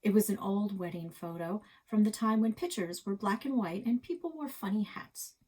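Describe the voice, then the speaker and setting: A woman's voice: medium pitch, normal speed, warm tone. Woman reading a children's book aloud. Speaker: library storyteller. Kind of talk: expressive children's book read-aloud narration.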